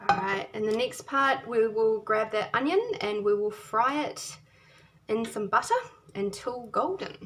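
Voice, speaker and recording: A woman's voice: fairly high pitch, unhurried, a bit bright. A woman from New Zealand hosting a home cooking video, chatting cheerfully as she chops and stirs in her kitchen. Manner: cheerful and chatty, often laughing, talks while chopping and stirring. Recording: noisy home kitchen with frying sizzle. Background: food sizzling and kitchen clicks.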